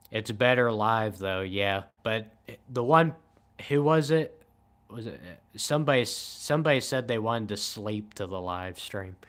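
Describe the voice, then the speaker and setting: A man in his twenties chatting casually on a late-night livestream in a flat, monotone, low-key way. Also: takes it slowly, long pauses. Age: 20s. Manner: monotone, calm and low-key, says he shows little emotion. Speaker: livestream host.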